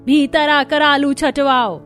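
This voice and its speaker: A woman plays a sharp-tongued mother-in-law from a poor cartoon household. Her voice sits fairly high as she nags and complains, with music underneath. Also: steady tone, takes it slowly. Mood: nagging. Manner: nagging, complaining. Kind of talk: Hindi cartoon story acting.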